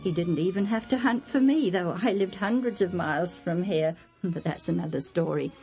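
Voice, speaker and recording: A woman's voice: lowish voice, normal speed, warm tone, soft background music, close to an everyday voice. A woman voicing a doting, scheming mother in a dramatised Bible story. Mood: doting, reflective. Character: Rebecca, doting, scheming mother of grown twins.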